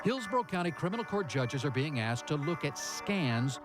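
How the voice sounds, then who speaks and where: A man's voice: on the high side, big pitch swings, normal speed, music in the background. A man with an American accent reading a scripted television news report as a reporter.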